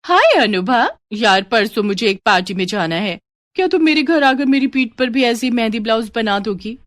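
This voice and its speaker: A woman voicing an excited young college girl in a story, gushing and admiring to her friend. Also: a little high, moderate pace, dry recording, studio quality, voice pushed up. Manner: gushing, bubbly.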